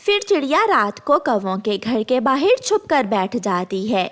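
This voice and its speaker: Woman narrator with an expressive storytelling voice. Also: on the high side, a little slow. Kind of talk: scripted children's story narration.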